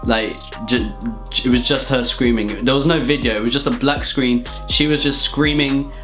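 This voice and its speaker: A young British man telling absurd comic stories to viewers in an animated, mock-serious, slangy way. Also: medium pitch, moderate pace, faint music behind. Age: young adult. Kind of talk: rambling comedic storytelling to viewers.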